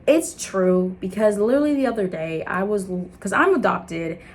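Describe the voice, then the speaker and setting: A young woman with an American accent, born in Liberia and raised in the States, talking to camera in an earnest, animated motivational vlog. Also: moderate pace. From Liberia, raised in the United States. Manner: earnest and animated, laughing, jumping into high excited bits. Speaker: content creator. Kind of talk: casual motivational vlog talk.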